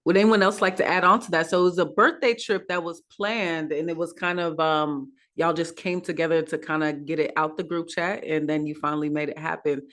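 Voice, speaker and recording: A woman's voice: low voice, fairly even intonation, a little fast, hardly any pauses, fairly bright tone. A woman hosting a panel over a video call, upbeat and starting her sentences with "now". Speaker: host.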